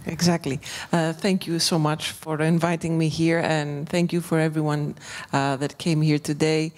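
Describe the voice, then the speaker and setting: A woman from Greece speaks on a conference panel. She works as a documentary filmmaker and journalist, and she talks in a measured way, pausing often with fillers and restarts. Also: low-pitched, little pitch movement, darkish tone. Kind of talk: panel discussion talk.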